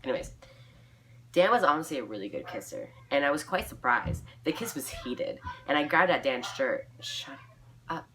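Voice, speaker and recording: A woman's voice: sits low, animated, normal speed, a bit bright, a little hiss or hum. A young woman reading her fan fiction aloud informally, breaking off for giggly, self-mocking asides.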